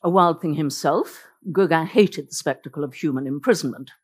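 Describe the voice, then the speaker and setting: A woman's voice: deep voice, normal speed, slightly rough. A woman whose first language is Norwegian, giving an illustrated lecture as a biographer, telling the story vividly with wry asides.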